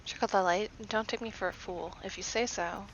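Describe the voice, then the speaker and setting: A woman streaming a game, chatting softly and giggling, slightly raspy from allergies. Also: mid-range voice, a little fast, muffled recording. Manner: relaxed, giggly, reads choices aloud. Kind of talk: soft-spoken gameplay chat. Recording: faint background noise.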